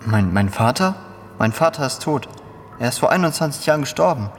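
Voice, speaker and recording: A man's voice: mid-range voice, animated, a little fast, natural voice. An actor in a German audio drama playing a bewildered, anxious father who questions urgently and stammers. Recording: long reverb, faint noisy ambience.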